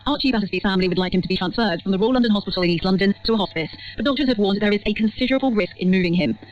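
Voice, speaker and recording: Very fast female reporter, muffled radio. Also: mid-range voice, soft background music. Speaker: news reporter. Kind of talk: scripted news report. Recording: muffled shortwave radio sound.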